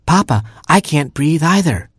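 A man's voice: fairly high pitch, very animated, unhurried, breathy edge, no room sound, clean studio sound, pitched above the performer's own voice, heavily acted. A man voicing a nervous, plaintive young hamster child in an animal storybook. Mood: scared.

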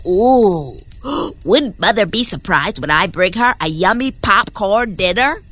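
A woman voicing an eager, naive young male rabbit in a storybook tale, gasping and excitable. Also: medium pitch, unhurried, voice pushed down. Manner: excitable, gasping, boastful then sheepish.

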